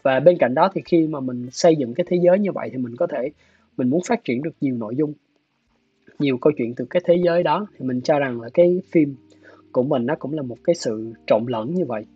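Male interview guest, southern Vietnamese accent, husky and measured, muffled video-call sound with guitar music behind. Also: mid-range voice, dry recording. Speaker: guest, animation director. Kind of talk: explanatory interview talk. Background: soft plucked guitar music.

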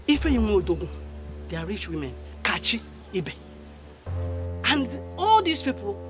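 A woman playing a brazen, quarrelsome debtor in a film drama, with a Nigerian accent and a taunting, exclamatory manner. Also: on the high side. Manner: taunting, exclamatory, repeats questions. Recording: background music over film dialogue.

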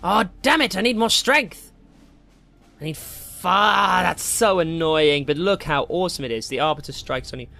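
A man's voice: high-pitched, moderate pace, creaky, bright, forceful tone, soft background music. A young British man giving casual, rambling, jokey gameplay commentary, easily distracted as he goes. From Britain. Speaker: gaming video host.

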